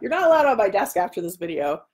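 A woman's voice: medium pitch, sing-song, quick pace, darkish tone. Chatty woman on a casual livestream. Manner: chatty, self-interrupting tangents. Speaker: author hosting a live chat. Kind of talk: casual chat, answering viewer questions. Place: home dining room. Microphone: webcam at arm's length.

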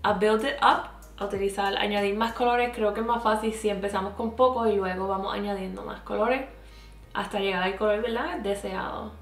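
A woman's voice: medium pitch, fairly even intonation, brisk, echoey room, faint music behind. A young woman from Puerto Rico with a Puerto Rican accent, chatting through a makeup tutorial and slipping English words into her speech. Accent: Puerto Rican Spanish. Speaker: makeup vlogger. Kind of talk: makeup tutorial, talking while applying. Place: small indoor room with plain backdrop.

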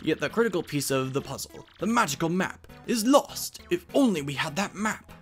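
A man voicing a scholarly, fussy, excitable old professor in a game, speaking in formal, old-fashioned phrases. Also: a little high, moderate pace, soft background music, pitched above the performer's own voice, natural voice. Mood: scholarly, earnest.

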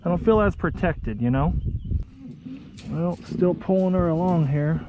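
A young American man chatting casually to viewers in a folksy, excitable way while out on the water. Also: a little high, unhurried. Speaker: vlog host. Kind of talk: casual outdoor vlog chat. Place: outdoors on a kayak under a bridge. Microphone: action camera. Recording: muffled action camera audio with outdoor noise.

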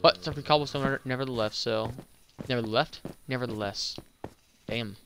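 A man's voice: medium pitch, a little fast, sharp tone, a little hiss or hum. A young man streaming a game and chatting casually, stumbling over words, slurring a little, with a slight lisp. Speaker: gaming YouTuber and streamer. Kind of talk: casual gameplay chat.

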